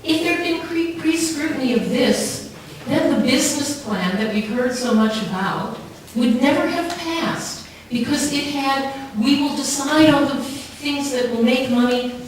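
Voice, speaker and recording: A very reverberant, noisy recording from some distance away carries a woman's voice. She addresses a council meeting as a campaigner, earnest and measured, with emphasis on her key words. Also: high-pitched. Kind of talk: prepared public speech and answers to questions. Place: large town hall meeting room. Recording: very echoey hall, distant mic, clear background noise.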